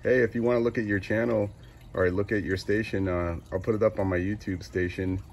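A man's voice: on the low side, steady tone, brisk, a little mellow, a little hiss or hum. An American man making casual CB radio calls in a clipped way, repeating call signs. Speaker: CB radio operator.

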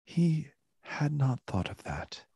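A narrator with a husky voice, reading a literary tale as scripted narration in a radio play. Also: mid-range voice, big pitch swings, slow pace, mellow, dry recording, studio quality, heavily acted. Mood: measured.

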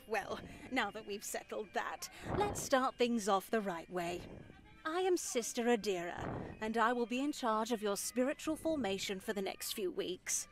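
A woman playing an elderly nun in a game, speaking formally and deliberately with a gently controlling manner. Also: fairly high pitch, expressive, music in the background, poor audio. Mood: composed, welcoming. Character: stern, composed elderly nun in charge of a convent. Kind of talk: visual novel voice acting.

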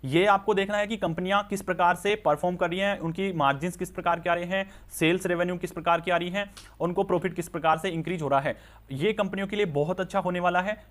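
Higher-pitched man explaining market news. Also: steady tone, quick pace, a bit bright. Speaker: stock market news presenter. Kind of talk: informal explanatory news commentary. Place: voice-over on slides with handwritten notes.

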